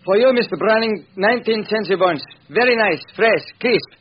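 Actor as a high-voiced immigrant greengrocer, old radio. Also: male voice, moderate pace, lightly acted. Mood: eager selling. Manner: broken English, short salesman's phrases. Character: plain-spoken, eager immigrant male greengrocer. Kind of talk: old-time radio drama acting. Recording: muffled, hissy vintage radio recording.

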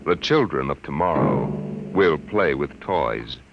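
A man's voice: a bass voice, animated, a little slow, creaky, over music. A male radio announcer giving a dramatic, suspenseful preview. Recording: old radio with loud gunfire effects.

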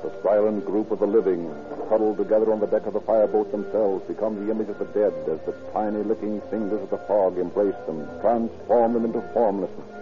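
Muffled old radio show, music under a man acting a low grim narrator, poetic and theatrical crime tale stuff. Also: steady tone, a little fast, hardly any pauses, lightly acted. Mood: ominous. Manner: florid, ominous, theatrical delivery.

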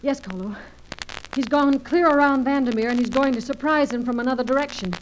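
Actress as high-pitched, plucky radio adventure heroine. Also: female voice, a little fast, natural voice. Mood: tense, steady. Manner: earnest, urgent, crisp pleading. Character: plucky, worried female adventurer, loyal companion. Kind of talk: 1940s-50s radio adventure serial acting. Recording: crackly old radio recording.

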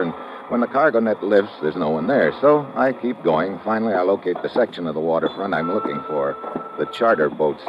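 An actor playing a wry, tough American government agent with an American accent, deadpan and wisecracking in hardboiled old radio drama style. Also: male voice, fairly low pitch, lightly acted. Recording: dull old radio recording, music behind.

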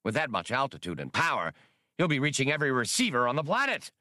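A man's voice: medium pitch, huge pitch swings, quick pace, sharp tone, no echo, clean studio sound, pitched above the performer's own voice. A man reading an audiobook, voicing a proud, menacing male alien security chief with a stiff, defiant manner. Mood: wary, grudging.

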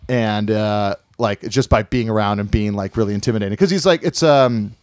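A man's voice: fairly low pitch, a little fast, darkish tone, dry recording, clean studio sound. A man from Hawaii telling a family story in casual chat, rambling with many fillers and restarts.

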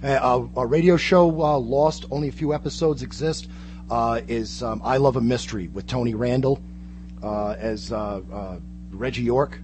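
A man chatting casually on a radio show as a co-host, halting but enthusiastic, like a keen fan. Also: mid-range voice, background noise. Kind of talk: casual radio interview chat.

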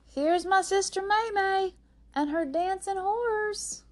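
A woman reading a storybook, playing a brisk, prim sister and stage performer with an emphatic, huffy manner. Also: mid-range voice, lively intonation, a bit bright. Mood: showy, announcing.

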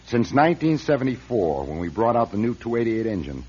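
An actor playing a shrewd, guarded car company chief in a radio drama, speaking with authority and probing. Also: male voice, medium pitch, very animated, a little fast, darkish tone, husky. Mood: grave urgent. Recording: dull, muffled radio drama recording.

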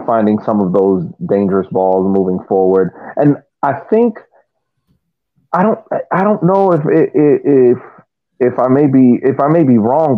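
A man's voice: mid-range voice, dry recording, phone-quality sound. A man giving casual soccer analysis as a podcast panelist, often repeating words as he works through his point. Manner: halting, repeats words while reasoning.

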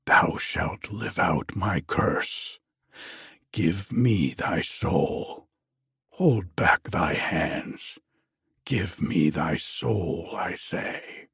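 A man's voice: deep voice, extremely slow, creaky, a bit bright, husky, no room sound, studio quality, pitched below the performer's own voice, heavily acted. A man voicing a grizzled, menacing old man in a storybook tale, commanding and ominous as he lays curses. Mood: demanding.

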